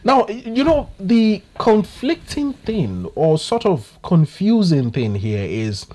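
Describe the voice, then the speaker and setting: Male TV host, Nigerian English accent, 30s, animated rising and falling pitch, measured questions. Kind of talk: studio interview. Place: TV studio.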